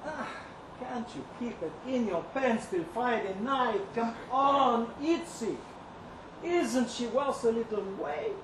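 High-voiced streetwise kitchen worker, acted by a man. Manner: colloquial, slangy, conversational swagger with halting pauses. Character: streetwise, cynical, lovelorn male kitchen worker. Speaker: actor reading a short story aloud. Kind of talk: dramatic first-person monologue reading. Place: live reading event in an indoor venue. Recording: roomy, noisy live event, distant mic.

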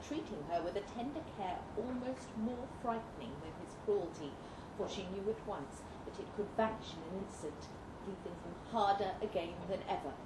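A woman reading a dramatic first-person story aloud as scripted narration. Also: medium pitch. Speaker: story reader. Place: indoor live literary event. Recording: roomy, noisy live venue recording.

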